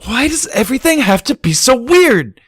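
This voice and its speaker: A man's voice: very high-pitched, big pitch swings, moderate pace, slightly rough, dry recording, studio quality, pitched above the performer's own voice, full character voice. A man voicing an indignant, easily flustered teenage female pony in a storybook reading, with exasperated delivery. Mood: exasperated shriek. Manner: loud, exasperated outbursts.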